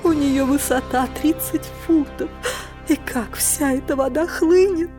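An anxious, long-suffering aunt in an audio drama. Her voice is high and she speaks slowly, fretting and hesitating, with music faintly behind her. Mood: worried, fretful. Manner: fretful, hesitant, sighing.

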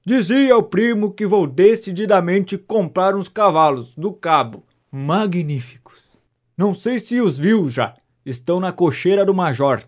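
An actor playing a breezy, teasing young gentleman in a Brazilian audio drama comedy, speaking with mock politeness. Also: high voice, animated, unhurried. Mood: enthusiastic. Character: breezy, teasing young gentleman, horse-loving idle cousin. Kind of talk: audio drama of a 19th-century stage comedy.

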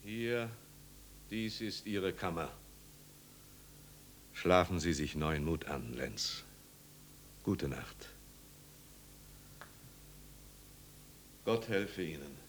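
A man's voice: sits low, lively intonation, slow, pauses often. An actor playing a kindly, steadfast village pastor in a 1950s radio drama, fatherly and earnest. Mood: tender, consoling. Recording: old radio recording with hiss and hum.

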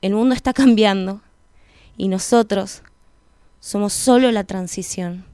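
A woman's voice: mid-range voice, unhurried, mellow. A woman with an Argentine accent delivering a scripted spoken-word monologue as a radio presenter. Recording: music bed under the voice. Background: music.

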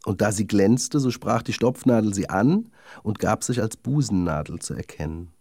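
A man's voice: fairly low pitch, animated, normal speed, mellow, no room sound, clean studio sound. A man narrating a children's fairy tale from a script, as a storyteller.

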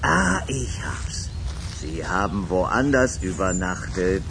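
An actor playing a pushy, melodramatic would-be great lover in a radio drama. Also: male voice, sits low, sing-song, takes it slowly, background noise, heavily acted. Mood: flirtatious. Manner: wheedling, melodramatic flirting.

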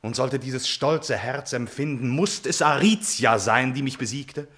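An actor playing a proud young prince troubled by forbidden love, speaking solemn, impassioned verse in a classical radio tragedy. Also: male voice, mid-range voice, measured pace, a bit bright, lightly acted. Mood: anguished pride. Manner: declamatory, solemn, impassioned delivery.

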